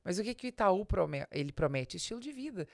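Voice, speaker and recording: A woman's voice: mid-range voice, very animated, quick pace, vocal fry, no room sound, clean studio sound. A Brazilian woman giving an explanatory business podcast talk, speaking straight to the listener in a didactic way with rhetorical questions. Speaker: business advisor and podcast host.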